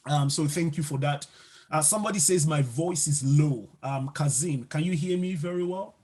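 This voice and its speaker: A man teaches a live online training session over a video call. His voice sits a little high and sounds husky. He talks unhurriedly, padding his sentences with fillers and pausing to quiz the class. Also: darkish tone. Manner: unhurried, filler-heavy, asks the class questions. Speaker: instructor. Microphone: video call mic.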